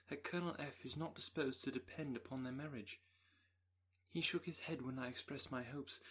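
Male reader voicing a gentle young woman's letter, composed, husky. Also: fairly high pitch.

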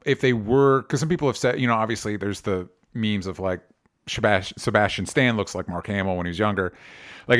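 A man's voice: fairly low pitch, fairly bright tone. A man co-hosting a podcast in casual chat, rambling with restarts and swearing freely. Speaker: podcast co-host.

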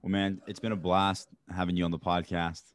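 A man's voice: low-pitched, flat delivery, fairly quick. A young man hosting a podcast, chatting casually and enthusiastically with a guest and laughing often. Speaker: podcast host.

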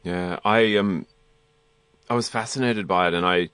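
A low-voiced man hosts a radio interview in a dry studio sound. He asks long, reflective questions and rephrases as he goes. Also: unhurried, fairly bright tone, clear, resonant tone, no echo. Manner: reflective, loosely worded questions. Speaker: radio host.

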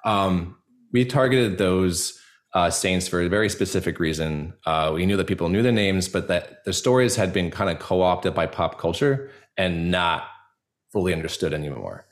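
A man speaking reflectively as a podcast guest, earnest and halting, with a self-deprecating way of talking. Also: low voice. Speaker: podcast guest, audio drama creator. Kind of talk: reflective interview conversation.

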